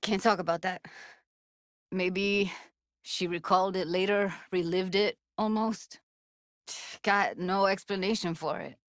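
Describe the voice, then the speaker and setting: A woman's voice: mid-range voice, fairly even intonation, a little slow, pauses often, no room sound, studio quality, natural voice. An actress playing a tough, sardonic, emotionally raw young woman techie in a video game, talking in a blunt, slangy, streetwise way. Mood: subdued, bitter grief. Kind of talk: naturalistic video game voice acting.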